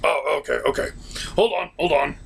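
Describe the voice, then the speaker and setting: A man voicing Satan as a busy, obliging evil-doer who works as a therapist, with a growly voice and halting delivery, in a comedy skit. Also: a little high, a little hiss or hum. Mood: distracted, obliging. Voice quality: gravelly, growly. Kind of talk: comedy skit in a cartoon review.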